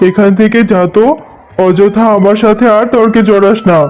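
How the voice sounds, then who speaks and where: A man's voice: sits high, little pitch movement, normal speed, a little mellow, close to an everyday voice. A man voicing a stingy, dismissive tiger shopkeeper in a children's cartoon. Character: stingy gruff tiger shopkeeper uncle selling lychees. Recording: dull lo-fi sound with music behind.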